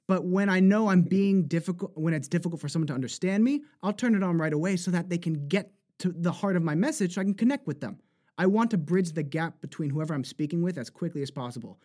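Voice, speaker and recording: High-voiced animated man with a New Jersey accent. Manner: animated, laughs often, slips into accent demos. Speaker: voice actor guest. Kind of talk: casual interview chat.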